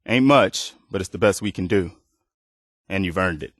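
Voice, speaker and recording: An actor playing a weary, earnest, plainspoken militia leader who protects refugees, in post-apocalyptic video game dialogue. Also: male voice, low voice, normal speed, dry recording, studio quality. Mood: grateful.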